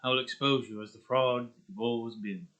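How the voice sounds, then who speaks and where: A man's voice: mid-range voice, fairly even intonation, a little fast, fairly bright tone, natural voice. A man playing a scheming supervillain with a smug, threatening, politician-like delivery from a character script. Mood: smug threat. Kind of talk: animated villain script reading.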